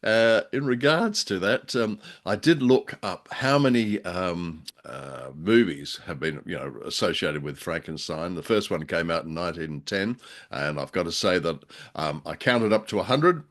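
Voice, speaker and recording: Australian man, low register, genteel and discursive co-host, picking through words in casual discussion. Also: expressive, normal speed, fairly bright tone. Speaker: co-host of a radio drama review show. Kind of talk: casual discussion of old radio drama.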